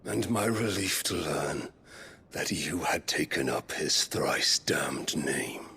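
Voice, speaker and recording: A grandiose warlord villain for a dramatic video game. His gravelly voice sits very low and drags each taunt out slowly. He is theatrical, mocking and full of vengeful menace. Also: lively intonation, pushed and bright, slight background noise, full character voice. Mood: vengeful, bitter.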